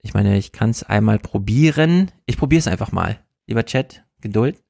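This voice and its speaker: A man with a gravelly, creaky voice hosts a casual political livestream, opinionated and restless. The sound is dry and close, with no room around it. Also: lowish voice, lively intonation, normal speed, a little mellow, no echo. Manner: opinionated, repeats "grotesk", restless. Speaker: livestream host.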